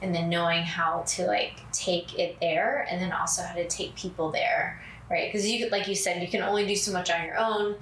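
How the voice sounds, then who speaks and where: A woman's voice: lowish voice, moderate pace. Soothing woman podcast host, roomy sound. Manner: soothing, even cadence with many fillers. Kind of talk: casual podcast conversation. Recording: roomy, distant mic, faint noise.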